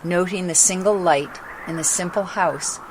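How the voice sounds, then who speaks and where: A woman's voice: fairly low pitch, normal speed, noisy background. A female narrator reading a scripted children's story in a dramatic storytelling style.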